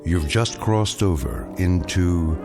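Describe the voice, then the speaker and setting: An actor playing the solemn, portentous narrator of eerie tales, in measured scripted narration for radio drama. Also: male voice, sits low, lively intonation. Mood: ominous, solemn. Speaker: narrator, host. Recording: prominent music bed behind.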